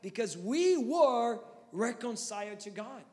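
A man in his thirties with a Brazilian Portuguese accent delivers an impassioned sermon with a fairly high voice. His rhetorical questions climb as he presses his point. The sound rings with a long echo from a large hall. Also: very animated, unhurried, a little mellow. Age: 30s. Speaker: pastor.

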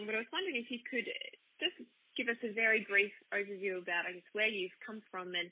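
A woman hosts a community radio interview, talking eagerly and tripping into restarts. Her voice is a little breathy, and it comes through dry and narrow, like a phone line. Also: mid-range voice, no room sound. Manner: enthusiastic, stumbling restarts. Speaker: radio host.

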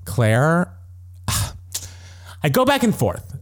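A young American man co-hosting a casual pop culture chat, retelling things in a gossipy, animated way with acted-out quotes. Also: fairly high pitch, drawn-out words. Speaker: podcast co-host.

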